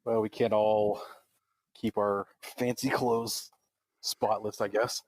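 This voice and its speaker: A sardonic male rebel in a tabletop space game, played by a man, low and unhurried. He drawls gruffly over faint background noise. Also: level tone.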